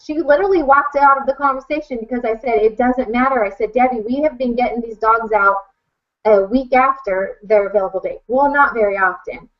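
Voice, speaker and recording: Woman, fairly high voice, outspoken, emphatic, conversational storytelling, dull podcast audio. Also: a little fast. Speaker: animal rescue advocate, podcast guest. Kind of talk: casual interview, personal account.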